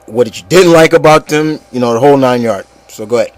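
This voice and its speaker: A man hosts a casual opinion show in a mid-pitched voice. He talks informally, as if to a group of buddies, and the recording is dry with very little room sound. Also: fast talker, no echo, low-quality recording. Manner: informal, conversational, addressing listeners as guys. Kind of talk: casual opinion chat about movies.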